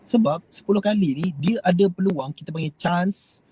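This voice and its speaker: A man's voice: sits high, moderate pace, dark tone, slightly rough, poor audio. A young Malaysian man with a Malaysian Malay accent, giving a rambling, hesitant motivational talk to his viewers. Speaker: host.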